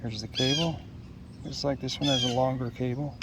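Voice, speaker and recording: A man with a mid-pitched voice does a casual, unscripted unboxing narration. He talks haltingly, as if thinking out loud to himself, and clear background noise runs under his voice. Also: steady tone. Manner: halting, musing aloud to himself. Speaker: product unboxer.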